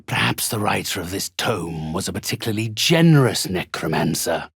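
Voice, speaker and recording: An actor playing a haughty, sinister necromancer in a video game, with a raspy, gravelly voice and a formal, theatrical, condescending manner. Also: male voice, sits low, expressive, moderate pace, fairly bright tone, no room sound, studio quality, heavily acted. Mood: coolly imperious. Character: haughty sinister male necromancer who commands spirits. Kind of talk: video game character acting.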